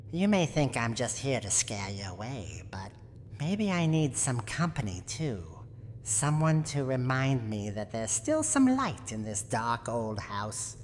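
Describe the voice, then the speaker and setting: A woman's voice: very deep voice, exaggerated voice. A woman voicing a tough, guarded granny who is secretly lonely and tender, in a wistful, teasing roleplay ASMR monologue. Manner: wistful, teasing bravado, slow dramatic delivery. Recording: noisy, long reverberant tail.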